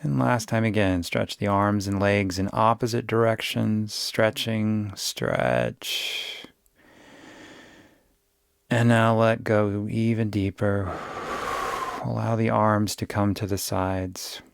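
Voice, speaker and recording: Slow, low-voiced man guiding meditation. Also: vocal fry, clean tone, no echo. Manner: soothing, unhurried, gentle instruction. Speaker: yoga nidra guide. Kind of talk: guided meditation.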